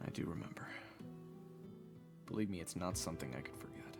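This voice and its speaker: A man's voice: sits low, a little fast, gravelly, music in the background. A man playing an earnest, easily flustered young hero who also narrates a fantasy audio drama. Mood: uneasy. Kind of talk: audio roleplay acting with first-person narration.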